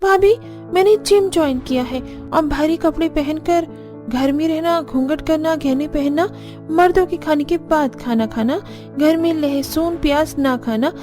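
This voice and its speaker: A woman's voice: sits high, animated, unhurried, mellow, faint music behind. A woman voicing aggrieved, pleading young women of a household in a Hindi cartoon family drama. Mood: indignant. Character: young daughters-in-law and pampered daughter, aggrieved, pleading.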